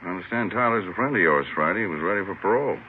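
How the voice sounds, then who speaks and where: An actor playing a laconic, plainspoken American prison-escape investigator in a 1950s radio drama, matter-of-fact in tone. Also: male voice, low-pitched, expressive, racing. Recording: faintly noisy, narrow old radio recording.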